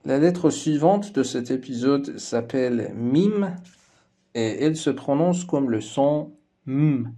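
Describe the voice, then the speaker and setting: Slow, mellow-voiced male language teacher. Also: medium pitch, animated. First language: Persian. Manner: slow and careful, repeating each word twice before translating it. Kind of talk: scripted language lesson.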